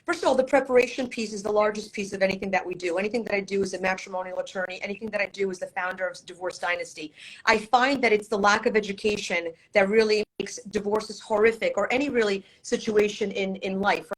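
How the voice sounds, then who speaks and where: A woman's voice: mid-range voice, steady tone, quick pace, poor audio. A middle-aged woman from Poland, whose first language is Polish, speaking as an attorney guest in a live-streamed interview, confident and storytelling, with rhetorical questions.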